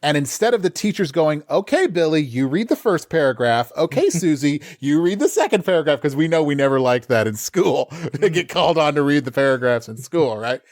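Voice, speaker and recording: A man, a voice actor, chatting casually in an interview and telling stories with lively quick voice changes. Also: a little high, no room sound, studio quality. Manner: animated storyteller, slips into quick voices. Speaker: voice actor guest.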